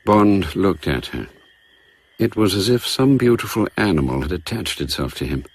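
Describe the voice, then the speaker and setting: An actor narrating a spy story in a radio drama, in a measured, reflective manner. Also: male voice, deep voice, big pitch swings, husky, exaggerated voice. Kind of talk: scripted narration, audio drama.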